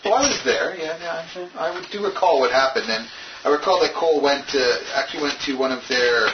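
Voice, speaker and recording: A man acts out a courteous merchant traveller in a tabletop game. He speaks formally and haltingly, with a businesslike, probing manner. The recording is dull and roomy, with clear noise behind him. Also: fairly high pitch, animated, close to an everyday voice. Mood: curious, probing. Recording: dull, very noisy, echoey distant room mic.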